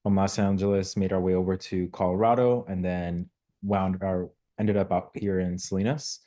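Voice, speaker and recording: Young guy from mexico, low deep voice, mellow, thoughtful with lots of fillers. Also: steady tone. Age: young adult. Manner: measured, reflective, with filler words. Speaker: guest, local elected official. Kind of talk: casual interview answers.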